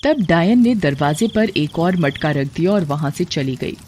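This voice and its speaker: A storyteller narrating a scripted moral horror tale for an animated story. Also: mid-range voice, moderate pace, a little hiss or hum.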